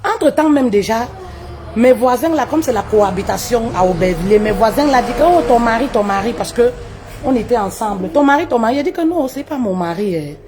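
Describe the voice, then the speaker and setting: A woman, telling her own story live, her voice jumping high for emphasis and dropping low and quiet. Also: a little fast, noisy background. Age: 30s. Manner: expressive, swoops high for emphasis, drops low and quiet, laughs often. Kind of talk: animated personal storytelling. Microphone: phone on a live stream.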